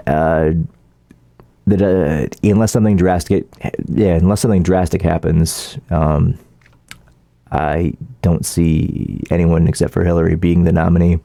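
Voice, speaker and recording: A man giving casual, opinionated political commentary as a vlogger, in an informal, halting, rambling way. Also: sits low, vocal fry, dark tone, no echo.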